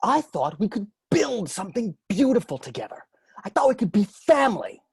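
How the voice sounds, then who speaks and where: Performer as husky, wildly swooping crime boss. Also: mid-range voice, sing-song, normal speed, a little mellow, dry recording, exaggerated voice. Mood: sinister sweetness. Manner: theatrical, mock-tender, abruptly disgusted. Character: flamboyant, menacing male crime boss, mockingly sweet. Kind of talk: amateur table read performance.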